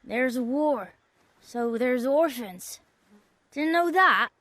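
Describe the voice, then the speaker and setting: A woman's voice: sits high, animated, slow pace, a bit bright, no echo, full character voice. A woman voicing a matter-of-fact young peasant orphan in a video game, with blunt, rustic, ungrammatical speech. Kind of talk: video game character acting.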